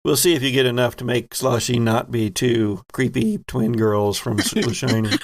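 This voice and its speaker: A man's voice: medium pitch, moderate pace, vocal fry. A man running a tabletop game, narrating and bantering in a playful, conversational way. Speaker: game master.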